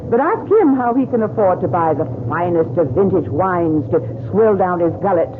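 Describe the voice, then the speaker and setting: An actress playing an indignant, spiteful household cook in a radio drama, defensive and scolding. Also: female voice, lowish voice, expressive, moderate pace, close to an everyday voice. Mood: accusing. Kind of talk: old-time radio drama acting. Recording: tinny, noisy old radio recording.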